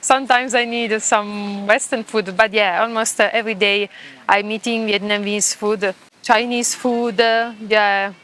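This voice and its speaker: A woman's voice: mid-range voice, steady tone, measured pace, a bit bright, rough recording. A woman in her thirties with an Italian accent, answering interview questions on a beach, hesitant and often laughing. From Italy. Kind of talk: casual street interview. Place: beach, outdoors.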